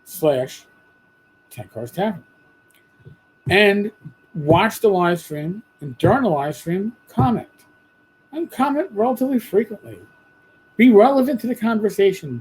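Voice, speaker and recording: A man hosting a podcast, talking bluntly and casually with a hoarse voice after losing it. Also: high voice, rough recording. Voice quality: husky. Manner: blunt, profane, rambling. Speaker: podcast host. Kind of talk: casual monologue.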